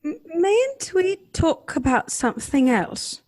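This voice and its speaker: A woman's voice: high-pitched, big pitch swings, takes it slowly, darkish tone, dry recording, exaggerated voice. An actress playing an earnest, tender-hearted and naive young lady in an old-time radio drama, questioning and emotive in her delivery. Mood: distressed. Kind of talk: live recreation of 1930s radio drama.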